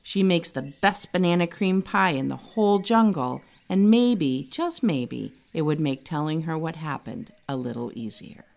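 A woman author reading her children's book aloud in an expressive storytelling style. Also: deep voice, unhurried, vocal fry, few pauses.

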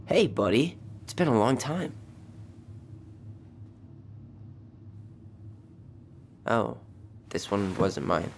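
A man's voice: fairly low pitch, sing-song, a little slow, slight background noise. A man playing a guarded young twin brother with dry humor and a casual, slightly impatient manner in a video game. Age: young adult. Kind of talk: naturalistic video game dialogue acting.

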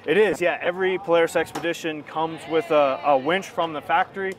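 A man's voice: on the high side, steady tone, a little fast. A man in his thirties, a company representative explaining a product informally in an outdoor interview on a clip-on mic. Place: outdoors at a busy expo ground. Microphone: wireless clip-on mic.

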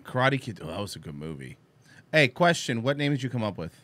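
Man, podcast co-host, quick joking asides, lively varied pitch. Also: no echo. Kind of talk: casual chat. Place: home studio with red curtain.

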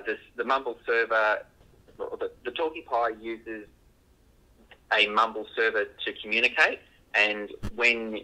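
A low-pitched man with a very sharp, bright edge comes through a walkie-talkie link. He explains things off the cuff, haltingly, repeating words as he goes. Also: low-quality recording. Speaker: engineer being interviewed. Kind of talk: unscripted interview explanation.

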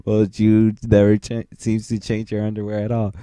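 A man's voice: fairly low pitch, completely flat delivery, normal speed, dark tone, clean tone, no echo. A man doing a goofy, improvised parody dub as an amateur voice performer, with crude joking and silly repeated nonsense. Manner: silly, repetitive nonsense ad-libbing.

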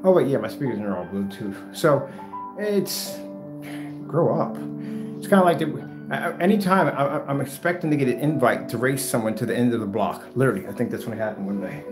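A man's voice: mid-range voice, animated, brisk. An American man in his fifties rambling casually to himself, muttering and grumbling as he works around a room at home. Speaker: vlogger. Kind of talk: casual rambling self-talk while tidying. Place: indoors, home room with projector screen. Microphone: far camera. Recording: echoey room, distant mic, loud music. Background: loud music.

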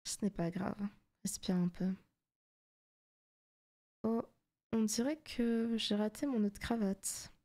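Soft, teasing demon girlfriend acted by a woman. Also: mid-range voice, takes it slowly, pauses often. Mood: coaxing, teasing. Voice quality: soft, often breaking into whispers. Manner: teasing, coaxing, possessive. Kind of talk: intimate ASMR roleplay.

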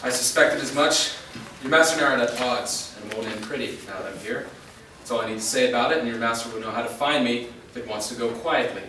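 A man's voice: mid-range voice, fast talker, lightly acted. Guy reading a blunt confident monster hunter in a play, really echoey, faint noise. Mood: challenging. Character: confident, blunt half-vampire male hunter. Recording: echoey room, far mic, faint noise.

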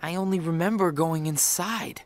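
A young man playing an earnest, brooding teenage boy in a video game, with a hesitant, soft-spoken, halting delivery. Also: on the high side, natural voice. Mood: dazed, determined. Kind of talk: dubbed video game cutscene acting. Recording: loud music under the dialogue.

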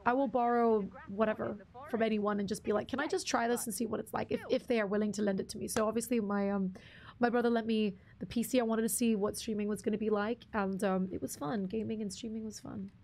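A young woman with a husky voice, chatting casually with viewers on a game stream, rambling and restarting her sentences. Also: medium pitch, fairly even intonation, fast, a little mellow. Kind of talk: casual live-stream chat reading viewer comments.

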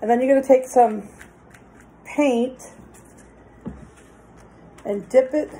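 Slow, mellow middle-aged woman giving craft instructions. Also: high-pitched, a little hiss or hum, poor audio. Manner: plain, pausing while demonstrating. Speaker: craft demonstrator for children. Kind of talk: informal step-by-step craft instruction. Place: indoors, dining table in a home.

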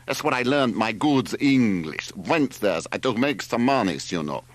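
Loud, gruff taxi driver. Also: male voice, lively intonation, measured pace, no echo. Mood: boastful, eager. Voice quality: rumbling, roaring. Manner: blunt, boastful, mangled grammar. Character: gruff, barrel-bodied Greek taxi driver with broken American-learned English.

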